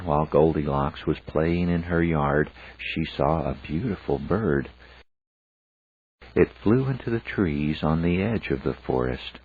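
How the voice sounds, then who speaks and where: A man's voice: a bass voice, breathy edge. A man reading a fairy tale aloud as a scripted storyteller narration. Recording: phone-like, narrow band sound.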